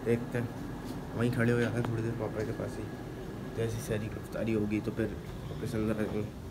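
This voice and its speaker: A young man in his twenties chatting casually to his viewers while tired from fasting. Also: fairly low pitch, monotone. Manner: chatty, addresses viewers as "your brother". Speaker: vlogger. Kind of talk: casual daily vlog chat. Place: home, indoors. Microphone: phone camera held close. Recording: noisy phone vlog audio.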